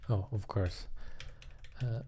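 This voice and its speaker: A man's voice: on the low side, steady tone, slow pace, mellow, a little hiss or hum. A man live coding in a casual way, thinking aloud and muttering hesitantly to himself.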